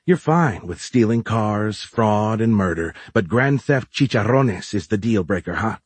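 A man's voice: on the low side, expressive, measured pace, husky, no room sound. A brash woman crook, read by a male narrator, sarcastic and teasing. She needles in streetwise slang, recorded close and dry for an audiobook.

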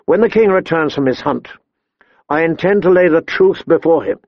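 A man voicing a stern, honorable lord in an audiobook, speaking in a grave and measured way. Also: fairly high pitch, dry recording, dull audio, voice pushed up. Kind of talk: audiobook character voice.

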